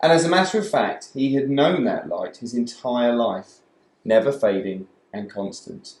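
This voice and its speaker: A man reading a children's story aloud as a storyteller, with animated, dramatic emphasis. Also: medium pitch, normal speed. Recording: echoey room.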